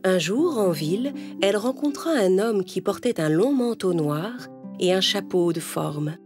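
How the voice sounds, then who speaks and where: A woman's voice: medium pitch, lively intonation, unhurried, music in the background. A woman storyteller reading a scripted children's tale as narration.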